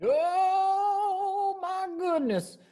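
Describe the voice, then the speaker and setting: A woman's voice: extremely high pitch, expressive, drawn-out words, warm tone. A woman narrating and hosting a live event, addressing the audience in an exclamatory, emphatic way. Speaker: narrator and host. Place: indoors on a virtual event call. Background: keyboard music.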